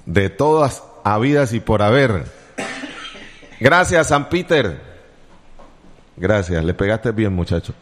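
Deep-voiced chatty Colombian radio host. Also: male voice, big pitch swings, slow pace, pauses often. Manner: joking, chatty, teasing asides. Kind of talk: casual morning radio chat. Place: radio studio.

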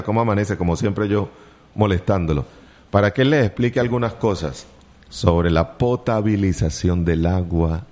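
Deep-voiced chatty Colombian radio host. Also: male voice, a little mellow. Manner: joking, chatty, teasing asides. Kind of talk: casual morning radio chat. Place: radio studio.

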